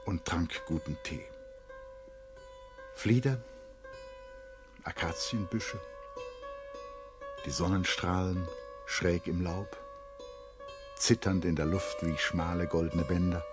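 A calm storyteller narrates a literary radio drama. His voice is very low, his phrasing deliberate and observant, with music clearly behind him. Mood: descriptive.

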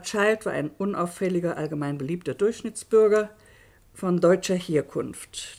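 An actress playing an earnest middle-aged woman academic in a German radio drama, lecturing in an articulate, formal, cautionary way. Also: lowish voice. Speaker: actor in a radio play.

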